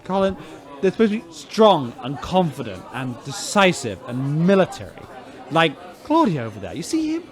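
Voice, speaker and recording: An actor playing a self-doubting, poetic spaceship captain in a sci-fi radio drama, rambling theatrically. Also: male voice, fairly high pitch, huge pitch swings, normal speed, a faint crowd. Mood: despairing, self-pitying.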